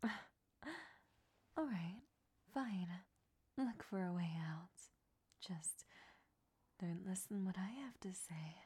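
Breathy young-sounding woman whispering close, slow and mellow, bossy-tender roleplay read. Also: medium pitch. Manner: hushed whispering, tsundere scolding turning tender. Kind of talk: whispered audio roleplay monologue.

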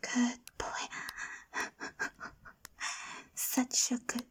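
A woman playing a domineering, possessive lover in an intimate audio roleplay, whispery and commanding. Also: extremely slow. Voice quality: breathy, whispery. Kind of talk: adult ASMR audio roleplay.

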